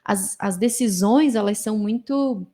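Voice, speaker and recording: A woman's voice: mid-range voice, unhurried, mellow. A woman from Brazil with a Brazilian Portuguese accent, hosting a podcast in a chatty way, with many fillers and affirming murmurs. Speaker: podcast host. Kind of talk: conversational podcast interviewing.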